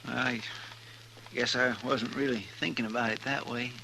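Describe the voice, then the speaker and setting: An actor playing an earnest, loyal, plain-spoken marshal's sidekick in a 1950s radio western, with a rural American accent. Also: male voice, medium pitch, steady tone, normal speed, creaky, pushed and bright. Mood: chastened, puzzled. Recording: noisy old radio recording.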